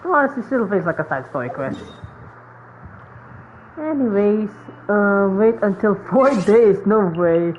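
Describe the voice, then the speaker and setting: A woman's voice: medium pitch, animated, a little slow. A woman giving casual live gameplay commentary as a streamer, musing in a rambling way with lots of fillers. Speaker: game streamer. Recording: dull mic, faint background noise.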